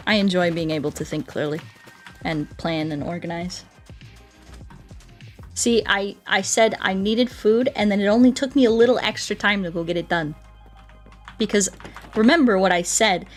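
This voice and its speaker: A woman is streaming a game and chatting to her viewers. Her voice sits at a middle pitch, and she talks in a playful, rambling, off-the-cuff way. Music plays behind her. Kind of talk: casual livestream chat while playing a game.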